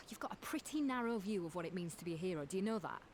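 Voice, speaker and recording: An actress playing a blunt, no-nonsense friend in a fantasy video game, chiding in colloquial speech. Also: female voice, fairly low pitch, lively intonation, fast talker, a little mellow, a little hiss or hum, lightly acted. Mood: scolding. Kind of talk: dramatic video game voice acting.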